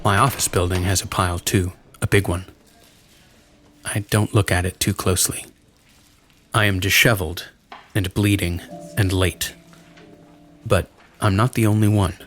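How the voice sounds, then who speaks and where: A man with a low voice narrates a story in the first person. His delivery is scripted and dramatic, and music plays clearly behind him. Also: steady tone, measured pace, pauses often, a bit bright.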